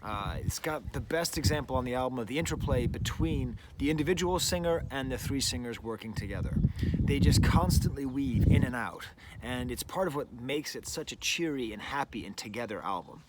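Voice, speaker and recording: Middle-aged guy rambling about records outside, mid pitch, chatty, lots of "like", faint noise behind. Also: expressive, brisk. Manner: rambling and chatty, full of "like" and "you know". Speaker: music reviewer, show host. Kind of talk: casual spoken album review. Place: outdoors, birds nearby.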